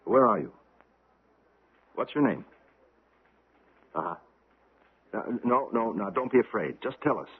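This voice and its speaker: An actor playing a driven, excitable policeman with clipped, commanding, intense delivery in an old-time radio drama. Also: lowish voice, a little slow, long pauses, slightly rough, phone-quality sound, full character voice. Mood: brisk, urgent. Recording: thin, faintly noisy old radio broadcast.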